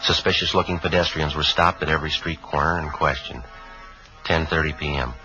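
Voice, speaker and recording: An actor playing a stoic, weary police detective in a 1950s radio drama, speaking tersely and matter-of-factly. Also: male voice, a little fast. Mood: matter-of-fact, grave. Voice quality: low-voiced. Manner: terse, flat, matter-of-fact delivery. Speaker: lead actor and narrator. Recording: dull vintage 1950s radio recording.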